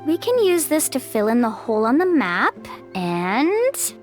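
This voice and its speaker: A woman's voice: sits high, huge pitch swings, measured pace, exaggerated voice. An actress playing a friendly, encouraging young woman guide who leads the group in a video game. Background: game music.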